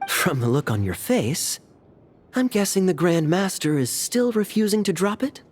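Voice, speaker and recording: A man playing a sly, teasing young captain in an anime video game, smooth-talking and playful. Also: high-pitched, big pitch swings, takes it slowly, pauses often, a little hiss or hum, full character voice.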